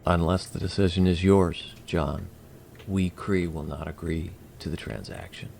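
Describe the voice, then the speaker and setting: A man playing a courteous alien envoy in an audio drama, speaking formally and deliberately with precise wording. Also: sits low, gravelly, a little mellow, a little hiss or hum, exaggerated voice. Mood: formal, firm. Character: courteous, measured male alien envoy from Kree. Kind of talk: scripted audio drama acting.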